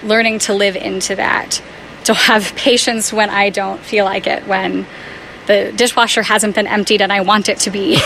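A woman on staff at a faith community house, answering interview questions in an earnest, thoughtful way with soft laughs. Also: medium pitch, little pitch movement, normal speed, gravelly, background noise.

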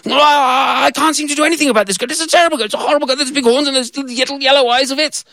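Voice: sits high, rapid-fire, sharp tone, dry recording, pitched above the performer's own voice. A storyteller voicing a polite, hesitant husband who stammers over his words.

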